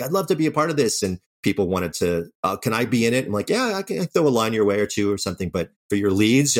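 A man speaking casually and earnestly in an interview as a guest writer and director, often telling anecdotes. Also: sits low.

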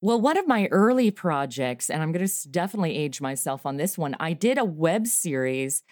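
A woman in her forties chatting as an interview guest over a remote call, animated, with laughs and fillers. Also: low voice, fairly quick, no room sound, studio quality. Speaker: podcast creator and guest. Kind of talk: casual interview chat.

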